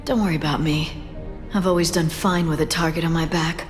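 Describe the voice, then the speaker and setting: Game femme fatale played by a woman: lowish, sultry, defiant and teasing, reverberant with music behind. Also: fairly even intonation, brisk, lightly acted. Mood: seductive. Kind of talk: video game cutscene acting.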